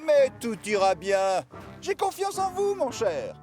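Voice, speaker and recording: Un comédien jouant un comte aristocrate agité, théâtral et autoritaire, dans un dessin animé. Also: male voice, extremely high pitch, huge pitch swings, measured pace, darkish tone, faint music behind, heavily acted. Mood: fussy. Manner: theatrical, bossy. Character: fussy aristocratic count, excitable.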